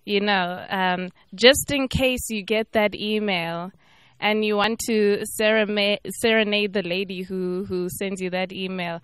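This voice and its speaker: Woman, interview host, upbeat encouraging questions, medium pitch. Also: steady tone, measured pace, fairly bright tone, smooth, dry recording. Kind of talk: casual interview hosting.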